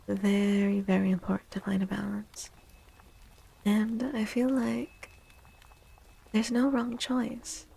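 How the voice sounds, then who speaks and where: A woman speaks very softly in an ASMR tarot-reader style, gentle and unhurried. Her voice is breathy and mellow, with a light creak at the edges, and it often slips into a whisper. She sounds reassuring throughout. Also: mid-range voice, low-quality recording. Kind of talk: soft-spoken ASMR tarot reading.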